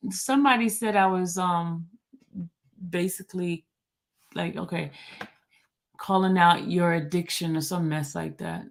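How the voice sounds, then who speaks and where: A woman hosting a casual livestream chat, reading viewer comments aloud in an animated way. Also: lowish voice, a little slow, pauses often. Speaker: livestream host.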